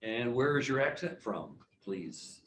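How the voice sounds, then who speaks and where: A man's voice: medium pitch, animated, unhurried, a bit bright, echoey room, distant mic, slight background noise. Jovial man moderating a meeting. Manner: jovial, brief remarks. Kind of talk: moderating introductions.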